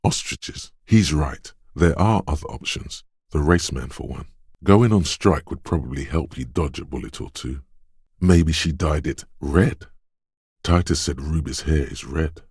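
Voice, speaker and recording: A super deep croaky streetwise instinct voice narrating a game, knowing, dry close sound. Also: male voice, a little slow, a little mellow, no room sound, exaggerated voice. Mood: shrewd, probing. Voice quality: very deep, gravelly, husky. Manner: knowing, conspiratorial, addresses listener as you. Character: alert, streetwise inner voice of quick reflexes, male. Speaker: video game narrator voicing an inner skill. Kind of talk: dramatic scripted game narration.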